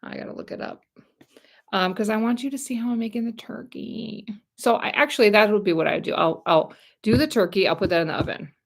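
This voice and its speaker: An American woman in middle age hosts a loose, chatty livestream, talking straight to her viewers. Her voice has a gravelly, fried edge. Her pitch sits at an ordinary middle height. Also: brisk. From United States. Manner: chatty, rambling, talks to viewers directly. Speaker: YouTube channel host. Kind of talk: casual livestream chat.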